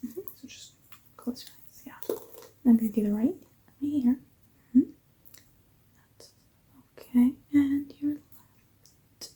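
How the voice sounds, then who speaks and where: A tired woman whispering softly through an ASMR face-measuring roleplay, yawning now and then and apologizing often. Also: high voice, slow, long pauses, poor audio. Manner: soft whispering, apologetic asides.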